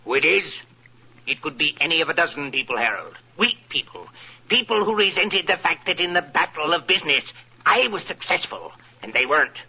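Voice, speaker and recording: A man's voice: fairly high pitch, sing-song, brisk, husky, full character voice. An actor playing a wealthy, paranoid, self-satisfied old businessman in 1940s radio style, grandly wordy and theatrical. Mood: triumphant, boastful. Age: older. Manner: theatrical, grandly wordy, repeats himself for emphasis. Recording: muffled vintage radio recording.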